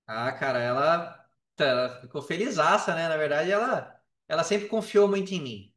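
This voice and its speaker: A Brazilian man telling his story casually as a podcast guest, in an animated, slangy way. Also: fairly high pitch. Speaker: podcast guest, affiliate marketer. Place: indoors on an online call. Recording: roomy echo on a call.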